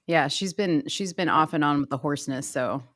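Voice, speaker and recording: A woman with a slightly low voice hosting a casual livestream, playful and quick with jokes. The sound is dry and close to the mic, with no room around her. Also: dry recording. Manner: playful, laughing, quick asides. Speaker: podcast co-host.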